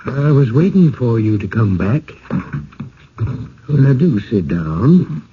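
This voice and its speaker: An actor playing a polite, fretful, gently persuasive village host in a radio drama, with courteous old-fashioned phrasing. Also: male voice, mid-range voice, sing-song, slow, a little hiss or hum. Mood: gentle, explaining. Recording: muffled, phone-like old radio recording.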